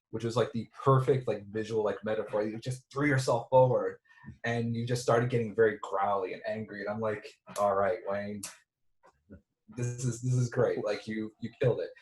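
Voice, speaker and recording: A man's voice: on the low side, steady tone, brisk, roomy sound, poor audio. A man, the director of a film, chatting casually at a reunion and rambling with lots of filler words over a video call.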